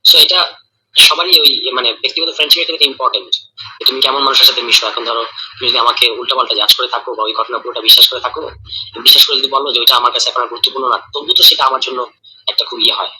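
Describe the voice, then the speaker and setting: A man with a Bangladeshi accent in a private, casual phone call, speaking in a hesitant, halting and defensive way on a tinny recording. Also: mid-range voice, bright, forceful tone, slight background noise. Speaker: caller in a private phone conversation.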